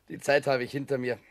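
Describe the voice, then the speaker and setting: An actor playing a young soldier, casual and noncommittal, in a radio drama. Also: male voice, medium pitch, no room sound, close to an everyday voice. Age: young adult.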